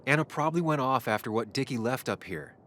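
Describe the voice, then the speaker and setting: A man voicing a composed inner companion in a video game, advising and urging in a crisp manner. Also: mid-range voice, lively intonation, fast talker, fairly bright tone, a little hiss or hum, lightly acted.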